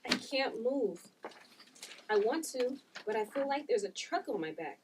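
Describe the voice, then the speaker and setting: A woman's voice: fairly high pitch, lively intonation, unhurried. A woman playing an earnest daughter in a script read-through, understated and matter-of-fact. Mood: strained worry. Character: gifted daughter with powers, plain and earnest. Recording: distant mic, clear noise, clicks.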